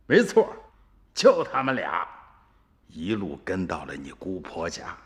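An actor playing an older male storyteller recounting family events to a listener in a radio drama, unhurried and wry. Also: low voice, huge pitch swings, very noisy, exaggerated voice. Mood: warm. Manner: slow, chuckling storytelling. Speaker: narrator.

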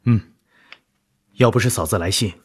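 A man's voice: lowish voice, moderate pace, slightly rough. An actor playing a loyal, anxious army officer in a radio drama, emotive and full of care for his comrade. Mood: concerned. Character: loyal army officer, anxious, warm younger brother-in-arms. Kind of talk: broadcast radio drama acting.

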